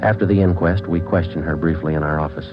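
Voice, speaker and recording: An actor playing a terse, deadpan police sergeant, narrating and questioning in a 1940s radio drama. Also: male voice, low voice, fast, over music, natural voice. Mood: flat narration. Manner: deadpan, clipped. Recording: dull, noisy 1940s radio recording.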